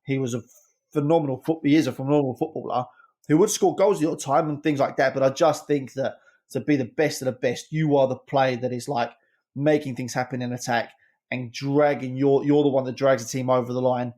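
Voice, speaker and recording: A man giving his opinions on a casual football podcast, rambling quickly and restarting his sentences. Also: mid-range voice, fairly even intonation, a little mellow. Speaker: podcast regular, head coach segment.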